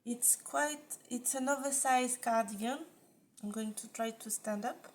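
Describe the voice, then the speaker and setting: A woman's voice: fairly high pitch, a little slow. A woman in her forties, not a native English speaker, chatting at home about her knitting, with many ums and restarts. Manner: hesitant, lots of ums, restarts and soft laughs. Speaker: knitting podcast host. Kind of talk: casual chat. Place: living room at home.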